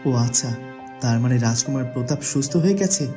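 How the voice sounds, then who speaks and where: A man's voice: medium pitch, expressive, fast, music in the background, close to an everyday voice. A man playing a kindly young prince in a fairy-tale cartoon, earnest and full of questions. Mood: surprised, curious. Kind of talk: Bengali cartoon voice acting.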